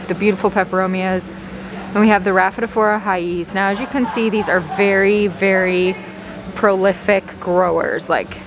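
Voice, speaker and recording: A woman giving casual, chatty shopping vlog commentary, appreciative and half talking to herself as she browses, recorded on a handheld camera in a large store garden center. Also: medium pitch. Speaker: vlogger, host. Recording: clear store background noise, ventilation hum.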